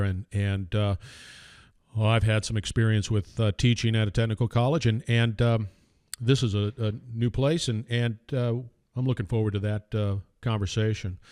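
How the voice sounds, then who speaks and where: A man hosting an industrial podcast, introducing a guest in a big, energetic voice full of fillers and restarts. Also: on the low side, smooth, no room sound, studio quality. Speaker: podcast host. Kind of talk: casual podcast intro and interview.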